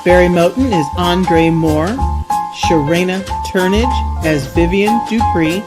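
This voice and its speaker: A woman narrator reading scripted credits and announcements for an audio drama. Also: fairly low pitch.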